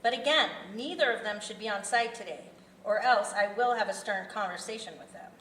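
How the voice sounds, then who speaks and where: A woman reading the part of a formal, evasive serial killer in a script table read, halting and businesslike. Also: medium pitch, fairly bright tone, lightly acted. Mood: stern. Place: indoor festival venue table read. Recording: distant echoey room with background noise.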